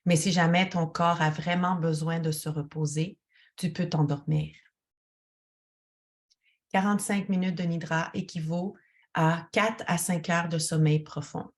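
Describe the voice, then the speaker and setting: Very slow, low-pitched Québécoise meditation guide. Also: female voice. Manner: calm, soothing, instructional. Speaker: yoga teacher guiding a relaxation. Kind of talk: guided meditation, addressing one listener.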